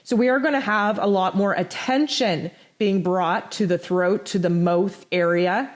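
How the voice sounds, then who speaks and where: A woman with a hoarse, strained voice giving a blunt, animated spiritual forecast as host of her own channel. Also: unhurried. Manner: blunt, animated, pitch jumps high for emphasis. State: hoarse, nearly lost voice. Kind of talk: casual spoken forecast.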